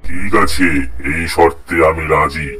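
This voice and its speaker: A man's voice: low-pitched, fairly even intonation, a little slow, music in the background. A man voicing a boastful, hot-headed tiger who thinks himself a king in a children's cartoon, with a pompous, commanding manner. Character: boastful, hot-headed male tiger claiming jungle kingship. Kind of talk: children's cartoon voice acting in Bengali fable.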